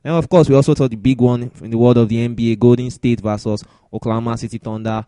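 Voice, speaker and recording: A man's voice: medium pitch, steady tone, quick pace, mellow, dry recording, clean studio sound. A man hosting a sports podcast, talking through news and results as commentary. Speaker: podcast host.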